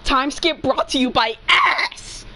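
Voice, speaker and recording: A woman's voice: sits high, slow pace, sharp tone. A young woman bantering casually as a co-reader, playful, crude and teasing. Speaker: co-reader and commentator. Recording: faintly noisy room recording.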